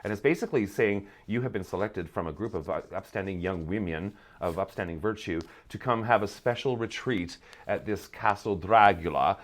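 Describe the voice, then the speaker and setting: A man with a low-pitched voice chats as a theatre guest on a podcast. He is wry and wanders off on asides, reworking his sentences as he talks. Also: normal speed, few pauses. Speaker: playwright and performer, podcast guest. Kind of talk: casual interview chat.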